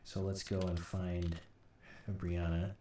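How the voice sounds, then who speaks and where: A man's voice: sits low, flat delivery, takes it slowly. A man giving a casual audio editing tutorial, thinking aloud as he works. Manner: thinking aloud while working. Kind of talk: casual screen-recorded tutorial commentary. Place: indoors at a computer. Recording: echoey, distant mic, keyboard clicks, faint noise.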